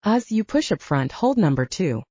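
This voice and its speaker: A woman voicing a brisk, commanding male military squad leader in audiobook dialogue. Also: medium pitch, sing-song, mellow, slightly rough, dry recording, highs cut off.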